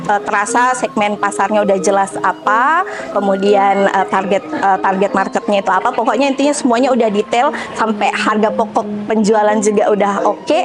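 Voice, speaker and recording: A young woman who owns a restaurant talks in an interview about running her business, speaking in a measured way with frequent pauses and hesitation fillers. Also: medium pitch. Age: young adult. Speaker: restaurant owner. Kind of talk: interview testimonial. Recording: music bed behind voice.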